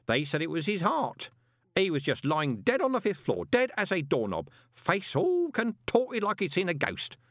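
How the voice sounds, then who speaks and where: Man acting old male postman, high swooping pitch, gossipy, ingratiating, audiobook character. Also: wildly swooping pitch, moderate pace, fairly bright tone, no room sound, studio quality, heavily acted. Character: chatty, conspiratorial elderly male postal worker, deferential.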